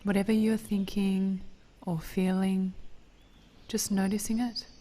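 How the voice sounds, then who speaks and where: A woman leading a guided body scan meditation in a gentle, soothing, breathy voice that drifts toward a whisper. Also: medium pitch, little pitch movement, measured pace.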